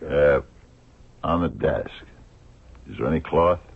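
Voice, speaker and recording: A dogged blind sleuth in a radio play, super low gravelly voice, hesitant probing, thin phone-like sound. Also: male voice, lively intonation, slow pace, heavily acted. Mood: musing, intent. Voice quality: gravelly, husky. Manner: hesitant, probing, murmured questioning. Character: shrewd, dogged blind man investigating a murder. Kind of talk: radio drama acting.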